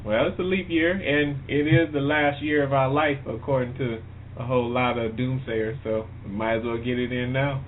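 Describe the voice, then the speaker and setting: An American man hosting a casual radio interview, speaking in a halting way with repeated words over a phone-like line. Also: mid-range voice, fairly even intonation, slight background noise. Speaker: radio show host.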